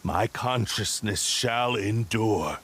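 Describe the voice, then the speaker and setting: An actor voicing a proud, vengeful spirit of a sword in a video game, with a grandiose, menacing and formal delivery. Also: male voice, lowish voice, slow pace, slightly rough, heavily acted. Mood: defiant menace. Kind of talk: dramatic video game voice acting. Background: loud game music.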